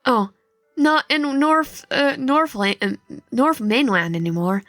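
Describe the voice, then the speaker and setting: A woman's voice: sits high, slow pace, fairly bright tone, no room sound, studio quality, voice pushed up, full character voice. A woman voicing a bewildered, sceptical dreamer in a visual novel, with an incredulous manner. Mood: baffled. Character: bewildered, sceptical dreamer pulled into a fantasy world. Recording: music behind the voice.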